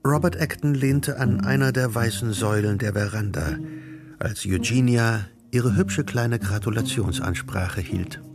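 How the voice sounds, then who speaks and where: Guy narrating a radio play, scripted literary reading, creaky voice, faint music behind. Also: medium pitch, unhurried.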